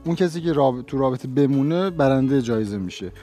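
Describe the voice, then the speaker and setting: A man's voice: medium pitch, lively intonation, warm tone, soft background music, close to an everyday voice. A man playing a moody, fickle dating-show contestant in a comic sketch, sheepish and apologetic.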